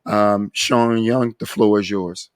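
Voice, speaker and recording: A man hosting a recovery podcast, earnest, his voice a little raspy from a cold, often restarting and repeating words. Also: lowish voice, steady tone, takes it slowly, dry recording. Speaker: podcast host. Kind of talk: casual podcast interview.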